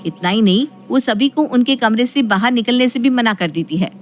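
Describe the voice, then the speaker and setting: A woman's voice: a little high, moderate pace, soft background music. A woman narrating a scripted family story.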